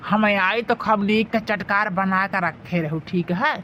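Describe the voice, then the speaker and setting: A man's voice: high-pitched, unhurried. Man playing a henpecked Awadhi husband, dull sound. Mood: wheedling, casual. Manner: wheedling, complaining. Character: henpecked, food-loving, self-pitying village husband. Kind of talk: comedy cartoon voice acting. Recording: dull lo-fi sound, faint noise.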